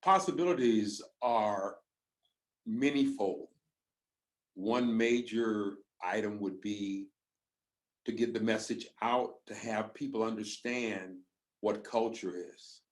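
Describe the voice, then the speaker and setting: Deliberate, low-voiced man on a panel. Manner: slow, deliberate, pauses mid-phrase. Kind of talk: measured panel answer.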